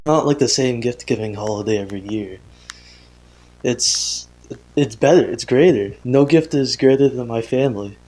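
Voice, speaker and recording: A man playing an earnest young father recording a confessional audio diary in a horror drama, speaking haltingly and hesitantly. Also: mid-range voice, a little mellow, natural voice. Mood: joyful. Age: young adult. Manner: halting, hesitant, sighing, self-interrupting.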